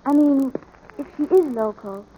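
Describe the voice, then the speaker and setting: A high-voiced young American farm boy in an old radio play. He is eager and a little anxious, speaking earnestly and hesitantly. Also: unhurried, narrow, tinny audio. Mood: worried. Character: eager, anxious young ranch boy devoted to his filly. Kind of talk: 1940s radio drama acting. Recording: vintage radio drama recording.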